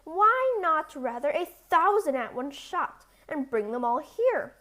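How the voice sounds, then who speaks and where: Woman putting on a high-voiced boastful little tailor. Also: very animated, slow, sharp tone, full character voice. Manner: ostentatious, cheeky. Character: little boastful, sly, curious male tailor.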